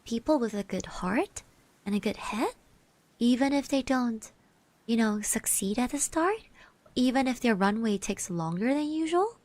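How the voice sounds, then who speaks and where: A woman speaking softly in an ASMR roleplay, gently reassuring the listener, musing with pauses and little hums, close to the microphone. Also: on the high side, lively intonation, no room sound. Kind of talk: soft-spoken ASMR roleplay, personal encouragement. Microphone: close microphone, dry.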